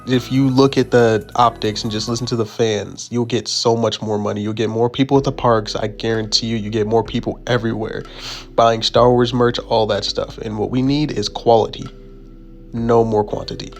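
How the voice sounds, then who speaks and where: A man with a hoarse voice from being sick, giving heated opinion commentary over a video, repeating himself for emphasis. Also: medium pitch, fairly even intonation, fairly quick, soft background music. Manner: pleading, repetitive emphasis.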